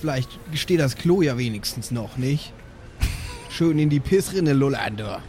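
A man's voice: medium pitch, expressive, a little slow, darkish tone, soft background music. A man improvising casual banter as a player in a role-playing podcast.

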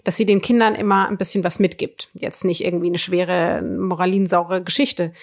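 A woman's voice: fairly low pitch, flat delivery, normal speed. A woman hosting a book podcast, giving recommendations in an enthusiastic, emphatic way. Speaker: book podcast host and reviewer. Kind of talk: book recommendation, partly scripted, partly conversational.

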